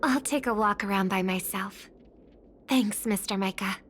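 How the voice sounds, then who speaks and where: An actress voicing a gentle, earnest and wistful young woman in a video game, speaking softly and with measured care. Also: medium pitch, a little hiss or hum, natural voice. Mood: soft, pensive. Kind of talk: video game voice acting, English dub. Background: background music.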